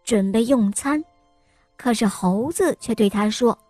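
Sweet, slow children's storyteller woman. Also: fairly high pitch, no echo, soft background music. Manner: sweet, sing-song, gently coaxing. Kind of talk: children's bedtime story narration.

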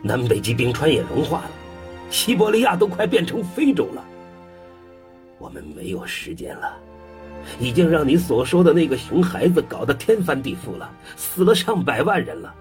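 An actor playing a stern, blunt, impatient middle-aged command boss in a science fiction audio drama. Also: male voice, on the high side, sing-song, normal speed, a little mellow, soft background music. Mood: exasperated. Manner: blunt, exasperated.

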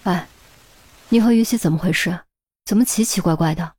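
A woman's voice: on the low side, normal speed, a little mellow. A woman voicing a firm senior policewoman in an audiobook, with an authoritative, no-nonsense manner. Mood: probing. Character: firm, brisk senior policewoman, adult, exasperated mentor.

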